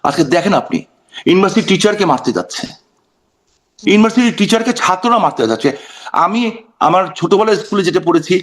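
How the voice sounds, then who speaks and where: A man's voice: high voice, animated, fast talker, pauses often. A Bangladeshi man giving impassioned political talk in an interview, emphatic, asking rhetorical questions, repeating phrases and mixing in English words. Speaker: political guest on a talk show.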